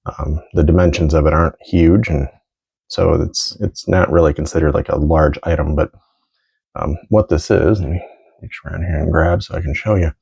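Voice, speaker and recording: A man's voice: rumbling low, steady tone, normal speed, gravelly, darkish tone, breathy edge. A middle-aged American man rambling casually and thinking aloud as he presents collectibles, in a lo-fi home recording. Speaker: vintage sports card collector presenting items. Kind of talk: casual unscripted show-and-tell.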